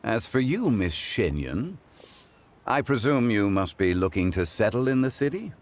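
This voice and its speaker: A man playing a calm, courteous, scholarly gentleman in a video game, speaking in a formal, measured, philosophical manner. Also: lowish voice, a little hiss or hum.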